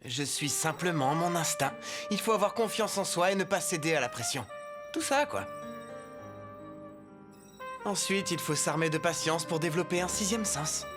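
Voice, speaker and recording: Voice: mid-range voice, animated, normal speed, long pauses, music in the background, close to an everyday voice. A performer playing a self-assured, know-it-all boy who advises friends in a French-dubbed cartoon. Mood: smug.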